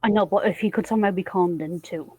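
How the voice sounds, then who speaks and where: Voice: mid-range voice, quick pace, darkish tone, husky, dry recording. A player in a tabletop game, talking casually and politely with some hesitation.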